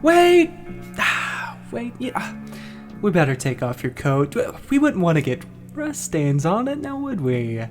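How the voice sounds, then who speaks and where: A woman's voice: fairly low pitch, huge pitch swings, over music, full character voice. Woman playing a jittery, wildly swooping schemer. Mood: sly, insistent. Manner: halting, nervous chuckles, repeated words. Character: jittery, giggly, sly property buyer feigning hesitance. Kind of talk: audio drama acting.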